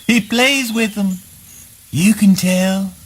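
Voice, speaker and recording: A woman's voice: medium pitch, slow pace, slightly rough. A woman playing an eerie, addled character in a spoken word play, dreamy and singsong, drawing out strange nonsense.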